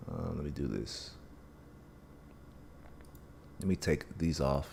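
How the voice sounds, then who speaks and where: A man's voice: extremely low pitch, slow, vocal fry, darkish tone. A man hosting a casual live stream, talking through chart analysis with a scratchy voice and a dry mouth, thinking aloud with many restarts. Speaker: livestream trading host.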